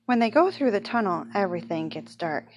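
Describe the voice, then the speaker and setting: A woman reading a children's picture book aloud in an expressive storytelling manner. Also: medium pitch, brisk. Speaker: storybook reader.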